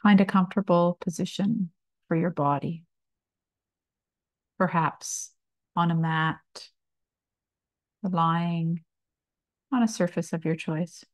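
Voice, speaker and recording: A woman meditation teacher guiding a body scan, speaking calmly and soothingly in short phrases with long pauses. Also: lowish voice, dry recording. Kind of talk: slow guided meditation, body scan narration.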